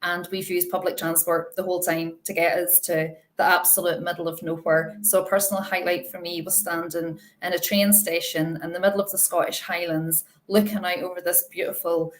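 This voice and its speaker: A woman's voice: on the low side, little pitch movement, fairly quick, hardly any pauses. Chatty Irish woman on a panel. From Ireland. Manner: chatty, enthusiastic, laughs at herself. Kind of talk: live Q&A panel chat.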